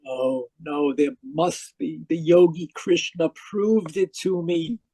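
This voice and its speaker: A man acting a tormented dead man who narrates his afterlife in old-time radio mystery style, halting and pleading. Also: a little high, warm tone, slightly rough, no room sound, heavily acted, poor audio. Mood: desperate pleading. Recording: live video call performance.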